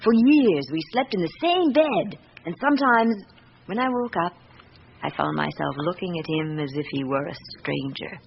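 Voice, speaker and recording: A wounded, middle-aged British wife confides her grievances in a radio drama. She is candid and reflective, choosing phrases deliberately. The old recording is muffled, with slight noise. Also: fairly low pitch, animated, creaky. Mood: bitter, reflective.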